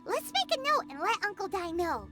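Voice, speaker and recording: A woman voicing a tiny, excitable, girlish companion creature in a video game, nosy and quick to exclaim. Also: extremely high pitch. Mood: chirpy. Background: game music.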